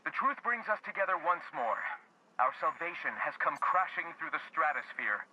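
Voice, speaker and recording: A man's voice: on the high side, very animated, normal speed, sharp tone, lo-fi sound, slight background noise, heavily acted. An actor playing a zealous male preacher-leader, grand and preachy. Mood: fervent.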